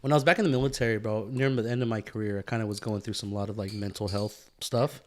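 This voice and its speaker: A man's voice: on the low side, fairly even intonation, fast talker. A man co-hosting a podcast in casual chat, loose and slangy, swearing as he tells anecdotes. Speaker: podcast co-host.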